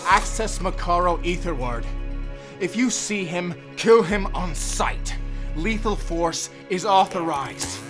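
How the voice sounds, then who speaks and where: A man's voice: high voice, big pitch swings, takes it slowly, exaggerated voice. A man playing a cruel prison warden and torturer in a fantasy audio roleplay, theatrical and commanding. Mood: urgent, commanding. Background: music.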